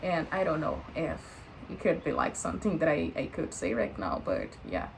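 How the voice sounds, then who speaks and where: A woman's voice: low-pitched, big pitch swings, moderate pace, gravelly, a little hiss or hum, rough recording. A woman in her late twenties with a Brazilian Portuguese accent, chatting unscripted to the camera in a hesitant way, with many fillers. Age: late 20s. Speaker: vlogger. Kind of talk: unscripted casual chat to camera.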